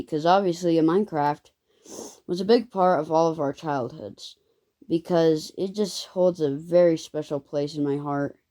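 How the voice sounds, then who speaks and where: A young vlogger telling a rambling story in a casual way, full of ums and self-corrections. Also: male voice, on the high side, warm tone. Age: child.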